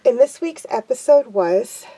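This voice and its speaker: A young woman hosting her own TV review show, her voice nasal and blocked up from a cold. She talks slowly and hesitantly, pausing often and filling gaps with ums. Her voice sits a little on the high side. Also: animated, warm tone, low-quality recording. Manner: hesitant, many pauses and ums. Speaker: show host reviewing TV episodes. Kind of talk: casual unscripted episode review.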